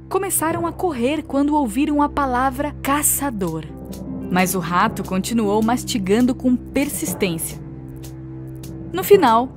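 A woman narrating a scripted children's story. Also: on the high side. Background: music.

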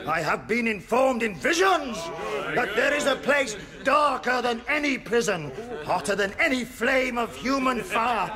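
A fire-and-brimstone prison chaplain in a radio play. His voice is high-pitched for a man, and he speaks in a pompous, declamatory way, full of pious zeal. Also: animated, unhurried, a crowd in the background. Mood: ranting, furious. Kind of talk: radio drama acting, preaching.

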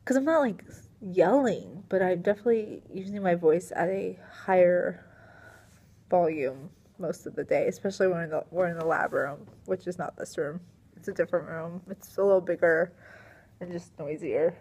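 A woman's voice: on the low side, normal speed, dark tone, slightly rough. A young woman teacher, tired and a little strained in her voice, rambling casually into a laptop webcam in a small classroom. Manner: rambling, filler-heavy, sighing. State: tired and sleepy. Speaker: middle school science teacher. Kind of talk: casual video diary. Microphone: laptop webcam at desk.

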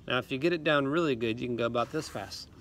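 A man's voice: mid-range voice, fast. A man in his thirties talking to students as a music teacher on an instructional video, explaining simply and encouragingly, recorded outdoors. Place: outdoors in a leafy yard. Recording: outdoor recording with clear ambient noise.

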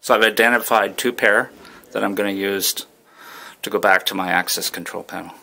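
A man's voice: fairly low pitch, a little fast, gravelly, pushed and bright. A man giving casual, plain-spoken commentary as he works, thinking aloud in an informal way. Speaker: fire alarm technician apprentice narrating his work. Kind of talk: casual hands-on work vlog commentary. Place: indoor building, at ceiling space and wall panels. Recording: noticeable background noise on handheld camera.

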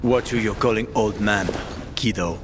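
An actor playing a gruff older male survivor and guide in a video game, wry, cocky and profane. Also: fairly low pitch, normal speed, noisy background, lightly acted. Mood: wry, bantering. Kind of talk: video game dialogue acting.

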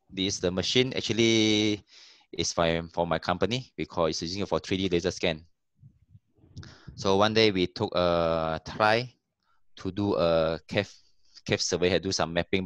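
Guy, deep low voice, casual talk on a video call, halting, dry mic. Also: dry recording. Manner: halting, searches for words. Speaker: cave explorer presenting. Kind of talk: casual online presentation.

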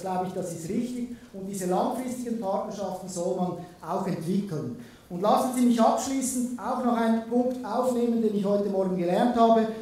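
A man's voice: sits high, mellow, distant mic. A man with a Swiss German accent giving a conference keynote in a conversational way, with frequent filler sounds. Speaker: keynote speaker. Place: large conference hall. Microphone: distant room recording. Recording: echoey hall, from afar.

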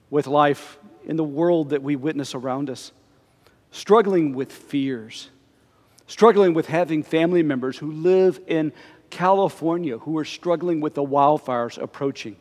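A middle-aged man, a pastor, preaching a conversational, expressive sermon while standing before the altar. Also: fairly high pitch. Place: church sanctuary before the altar. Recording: long reverb of a church sanctuary.

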